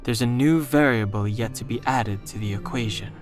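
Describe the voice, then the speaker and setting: Game role: level-headed young strategist, played by a man, measured speech, dry manner, medium register. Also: mid-range voice, over music, close to an everyday voice. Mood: calm, reasoning. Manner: measured, matter-of-fact, dry. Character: cool, analytical, composed young man. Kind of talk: video game voice acting.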